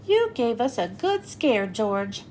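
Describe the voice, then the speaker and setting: A woman reading aloud, voicing a kind grown-up woman who hosts a party, in gentle, friendly storybook dialogue. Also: fairly high pitch, very animated, slow pace, a little hiss or hum. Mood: kindly.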